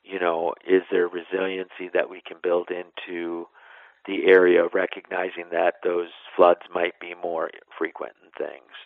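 A low-pitched man with a creaky, gravelly edge explains things as an expert guest in an interview. His phrasing is informal and sometimes trails away at the end. The sound is dry and a little dull, like a band-limited radio interview. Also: completely flat delivery, measured pace, no echo. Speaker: national park superintendent, interview guest.

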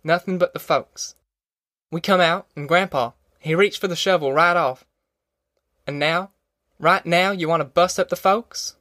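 A man acts out a middle-aged country mother who will not budge. The voice sits high, with a rural Southern American accent and folksy, threatening dialect. It is audiobook character work, recorded dry and close. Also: unhurried, bright, forceful tone, dry recording. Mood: fierce pleading. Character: fierce, stubborn middle-aged rural mother, defiant matriarch.

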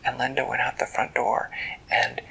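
A parent telling a bedtime story in a hushed, gentle, unhurried way. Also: medium pitch, completely flat delivery, creaky, slightly rough, in a whisper, rough recording.

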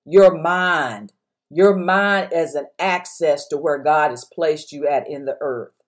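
A woman's voice: deep voice, slow. Strong-voiced woman preaching. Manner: strong voice, exhorting, repeating phrases. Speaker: pastor. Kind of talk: sermon podcast.

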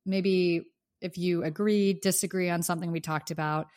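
A woman's voice: on the low side, steady tone, normal speed, darkish tone. A woman co-hosting a casual podcast while sick with the flu, chatty and grateful. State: sick with the flu, may cough. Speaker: podcast co-host. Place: home in Los Angeles.

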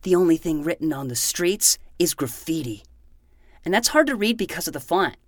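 A woman's voice: on the low side, very animated, moderate pace, no echo, clean studio sound. A woman playing a self-important 12-year-old boy who acts like a hardboiled private eye in a fiction podcast. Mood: stubborn. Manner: melodramatic, self-important noir narration.

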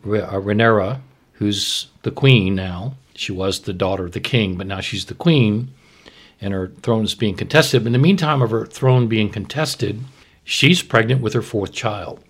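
A man's voice: fairly low pitch, moderate pace, breathy edge. A man co-hosting a podcast, telling things in a loose, rambling, conversational way and repeating himself. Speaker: podcast co-host. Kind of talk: casual conversational storytelling.

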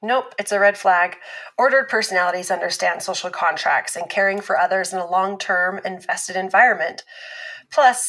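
Measured woman relationship expert. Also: mid-range voice, a bit bright, poor audio. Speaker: expert guest on a talk show. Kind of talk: scripted interview in a fiction podcast.

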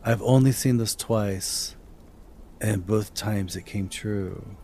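A man's voice: on the low side, slow pace, warm tone, slight background noise, pitched below the performer's own voice. Man voicing a shaken, ominous woman fortune teller. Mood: shaken, grave. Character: shaken female fortune teller with grim news.

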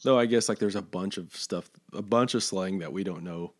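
A man's voice: deep voice, normal speed. A man hosting a podcast interview, asking rambling questions full of hesitations. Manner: hesitant, many fillers and restarts, rambling questions. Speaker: podcast host. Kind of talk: casual interview chat.

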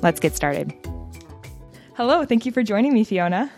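A woman's voice: medium pitch, very animated, normal speed, a little mellow, soft background music. A woman hosting an interview podcast, upbeat and encouraging, asking her guest questions. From Seattle. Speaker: podcast host.

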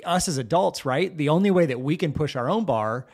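A man's voice: on the high side, expressive, fairly quick, darkish tone. Earnest, rambling male podcast host. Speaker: podcast host, school administrator. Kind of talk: casual interview talk.

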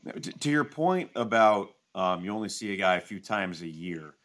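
A low-pitched male host speaks in a conversational sports interview style. His voice is recorded close and dry, with no room sound. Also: lively intonation, normal speed, fairly bright tone, dry recording.

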